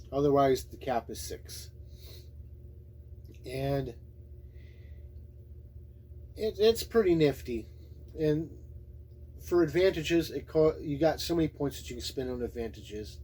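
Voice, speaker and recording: Husky-voiced man giving a casual review. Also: mid-range voice, darkish tone. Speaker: YouTube tabletop game reviewer. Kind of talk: casual unscripted review talk.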